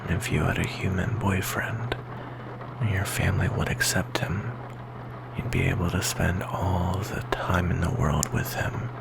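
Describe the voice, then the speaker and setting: A man playing a melancholy, insecure vampire boyfriend in an ASMR roleplay, speaking softly and hesitantly in a gravelly whisper. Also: low voice, exaggerated voice, poor audio. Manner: soft, hesitant, intimate, slow with pauses.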